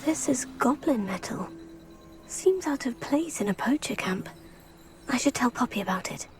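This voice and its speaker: A woman's voice: fairly high pitch, expressive, moderate pace, close to an everyday voice. An actress voicing a curious, determined young female student witch in a video game, matter-of-fact in tone. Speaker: player character. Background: game music.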